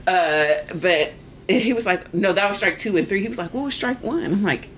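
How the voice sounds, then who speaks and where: A woman in her forties telling stories in a casual podcast chat, animated and laughing, acting out other people's voices. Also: medium pitch, moderate pace, noisy background. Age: 40s. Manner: animated storyteller, acts out voices, laughs often. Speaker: podcast guest.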